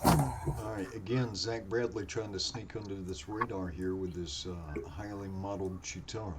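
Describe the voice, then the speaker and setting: A man's voice: sits low, normal speed. A man hosting a live drawing contest, announcing times and reading out comments. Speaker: stream host. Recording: noisy audio with clicks.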